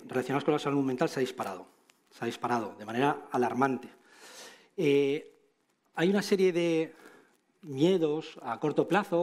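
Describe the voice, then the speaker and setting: A man's voice: mid-range voice, darkish tone. A middle-aged man with a Castilian Madrid accent giving an informal conference talk, with wry asides and frequent tag questions. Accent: Castilian Spanish from Madrid. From Spain. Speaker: conference speaker, clinician. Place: large auditorium stage, indoors.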